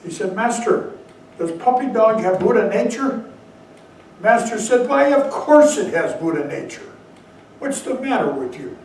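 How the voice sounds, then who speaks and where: A man's voice: high-pitched, lively intonation, a little slow, darkish tone, slight background noise. A man giving a dharma talk as Zen teacher, telling a story with bursts of emphasis. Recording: very echoey hall, mic far off.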